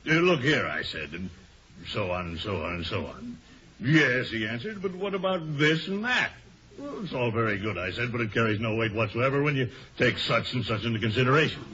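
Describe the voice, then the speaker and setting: Man acting a jaunty old board governor, lively swings. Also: medium pitch, brisk, a crowd in the background, close to an everyday voice. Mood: brisk, pleased. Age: older. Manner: hearty, clipped sentences. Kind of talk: 1950s radio comedy acting. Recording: dull vintage radio recording.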